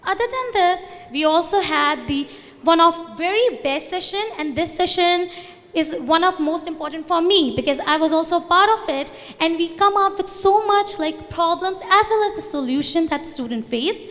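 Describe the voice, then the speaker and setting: Brief: young Indian-accented woman, high voice, earnest presenter at a convention, a bit loosely worded, long hall reverb. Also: animated, normal speed, a bit bright. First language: Hindi. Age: young adult. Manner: earnest, loosely phrased speech with restarts. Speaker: student organization representative. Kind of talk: conference presentation.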